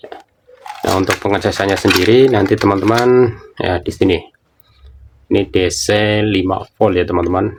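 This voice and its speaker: Low-pitched, gravelly man. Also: fairly even intonation, moderate pace, long pauses, slight background noise. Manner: informal, explanatory, often repeating himself. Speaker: product reviewer. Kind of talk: casual unboxing and product demonstration.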